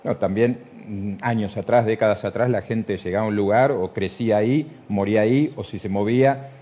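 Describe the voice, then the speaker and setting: A man's voice: lowish voice, brisk, mellow. A man in his forties with a Buenos Aires accent, hosting a conversational television interview. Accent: Argentine, Buenos Aires. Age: 40s. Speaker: TV interview host. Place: TV studio.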